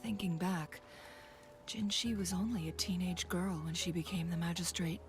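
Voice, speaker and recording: An actress voicing an earnest, thoughtful young female warrior in a video game, speaking in a measured, serious way. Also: on the low side, fairly even intonation, natural voice. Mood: solemn, sympathetic. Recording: music behind the voice.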